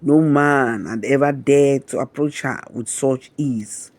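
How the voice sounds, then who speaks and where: A man narrating a scripted romantic folktale in a measured, dramatic storybook manner. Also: mid-range voice, dry recording.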